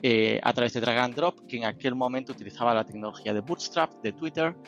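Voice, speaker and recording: A man's voice: mid-range voice, fast talker, fairly bright tone, muffled recording. Spanish-accented man as interview guest, rambling and talkative, light music bed. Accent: Spain Spanish. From Spain. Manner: talkative, rambling with many fillers. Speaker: guest, software company founder. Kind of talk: casual interview talk.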